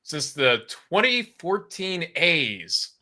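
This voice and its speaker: A man's voice: on the high side, big pitch swings, takes it slowly, a loud crowd. A man talking casually about sports as a co-commentator.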